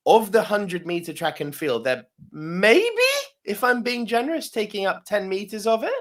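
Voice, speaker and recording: A man's voice: sits high, lively intonation, normal speed, a bit bright. A man co-hosting a podcast, telling a long story casually, swearing freely, laughing often and asking "yeah?" as he goes. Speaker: podcast co-host.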